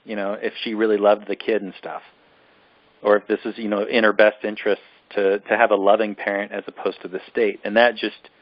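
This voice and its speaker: A man's voice: on the low side, flat delivery, a little fast, no room sound, dull audio. A man co-hosting a casual film discussion, ranting in an exasperated, emphatic way and repeating himself for emphasis. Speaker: podcast co-host reviewing films.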